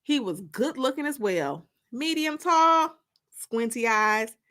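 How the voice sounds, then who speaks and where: A woman narrating a first-person audiobook story in an expressive, emphatic, dramatic way. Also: fairly high pitch, slow. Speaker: author and narrator.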